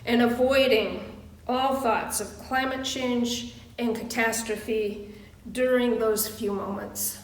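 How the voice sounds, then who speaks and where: A woman delivers a sermon as a minister, slowly and deliberately, in short phrases set apart by pauses. Her voice sits a little high. The recording is roomy and echoing, picked up at some distance from the microphone. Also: fairly even intonation. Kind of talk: sermon and guided prayer.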